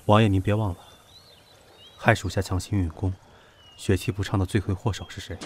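Royal bodyguard male actor: lowish voice, formal, curt, wary, period radio drama. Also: flat delivery, fairly quick, a little hiss or hum, natural voice. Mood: cold, guarded. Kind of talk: Chinese costume audio drama acting.

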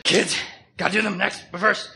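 A man playing a deranged serial killer who rants in an unhinged, theatrical way, high and creaky, in a radio drama. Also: steady tone, moderate pace, pushed and bright, full character voice. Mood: muttering, crazed.

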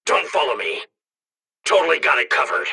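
A man playing a gruff, cunning, theatrical reptilian alien bounty hunter with a raspy, gravelly voice in a video game. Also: on the high side, big pitch swings, moderate pace, sharp tone, no echo, studio quality, heavily acted. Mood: cheery. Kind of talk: video game character acting.

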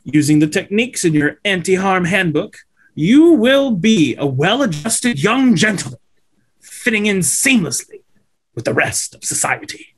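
A man's voice: high-pitched, very animated, measured pace, breathy edge, exaggerated voice. A man in his thirties playing a slick, upbeat corporate representative lecturing schoolboys at a script table read. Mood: earnest, persuasive. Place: indoors at home on a video call.

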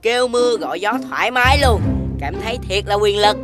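A woman's voice: mid-range voice, animated, brisk, natural voice. A woman with a southern Vietnamese accent voicing a carefree, mischievous little piglet boy in a children's cartoon, full of exclamations. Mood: smug. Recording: music behind the voice.

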